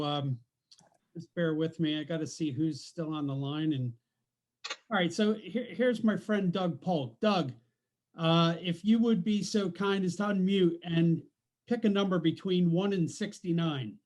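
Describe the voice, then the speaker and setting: Guy on a zoom call doing a raffle, kinda high voice, relaxed and playful. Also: unhurried. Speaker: raffle announcer. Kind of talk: casual announcement. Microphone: computer mic on a video call.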